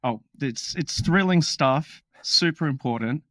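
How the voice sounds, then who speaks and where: A man's voice: fairly high pitch, lively intonation, a bit bright, no echo. A man hosting a podcast in a casual, upbeat way, with joking asides. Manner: upbeat, jokey, quick asides. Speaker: podcast host. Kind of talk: casual podcast chat with read disclaimer.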